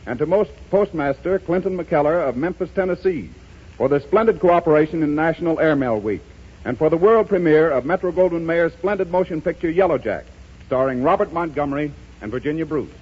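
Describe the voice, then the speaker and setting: A man's voice: a little high, fairly quick, breathy edge. A man announcing formally in the style of 1930s radio. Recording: dull, band-limited 1930s radio broadcast.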